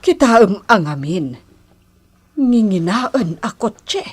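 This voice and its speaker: Stern mother, slow animated delivery. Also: mid-range voice, husky, full character voice. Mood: reproachful. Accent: Filipino, Ilocano. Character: stern, suspicious mother admonishing her grown son. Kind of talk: Ilocano radio drama acting.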